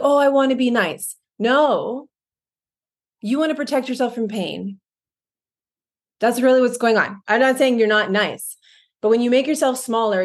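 A woman co-hosting a podcast, talking in an animated, emphatic way and often laughing. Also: medium pitch. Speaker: podcast co-host. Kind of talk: casual podcast chat.

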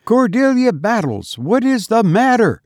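A man voicing a peremptory, indignant mistress of the house in a storybook reading, with a sharp, impatient, demanding manner. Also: on the high side, sing-song, takes it slowly, no room sound, studio quality, pitched above the performer's own voice, heavily acted. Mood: alarmed, demanding.

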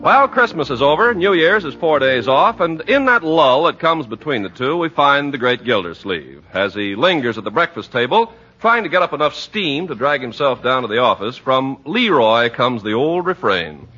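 A man with an American accent announcing in 1940s radio style, delivering a scripted sponsor pitch with polished emphasis. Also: sing-song, moderate pace, hardly any pauses, sharp tone, husky. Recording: muffled 1940s radio broadcast, music behind.

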